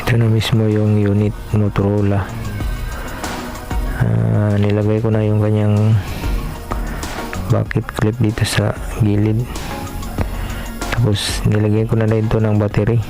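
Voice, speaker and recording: Flat, low-voiced man unboxing gear. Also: a little slow, long pauses. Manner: hesitant, filler-heavy, talks directly to viewers. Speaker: vlog host. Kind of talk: casual product unboxing vlog. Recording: clear background music.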